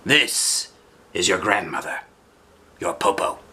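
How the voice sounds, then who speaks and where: Storybook villain: a man plays a sly old wolf disguised as granny, deep, coaxing, unhurried. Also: lively intonation, bright, forceful tone, exaggerated voice. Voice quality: low voice. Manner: slow, drawn-out, coaxing. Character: cunning old wolf posing as kindly grandmother.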